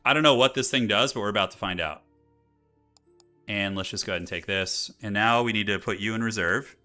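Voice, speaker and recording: A man with a fairly low, bright and forward voice talks through a strategy game as he plays. He is slangy and colloquial, thinking aloud in a casual streaming style, with music playing faintly behind him. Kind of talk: casual live game commentary.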